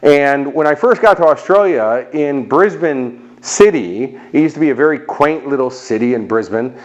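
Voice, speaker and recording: A middle-aged man from Chicago with an American accent, giving a lively motivational talk and acting out short voices in his stories. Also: mid-range voice, moderate pace, dull audio. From Chicago, United States. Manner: animated storyteller, acts out little voices and jokes. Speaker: motivational speaker.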